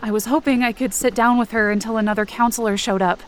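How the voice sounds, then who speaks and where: A woman performs an anxious young female camp counselor in an audio drama, her voice on the high side. She narrates in the first person with a tense, dramatic delivery, hurt and on edge. Faint rain and ambience sit behind her. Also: steady tone, fast talker, a little hiss or hum, close to an everyday voice. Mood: uneasy, tired. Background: rain and outdoor ambience effects.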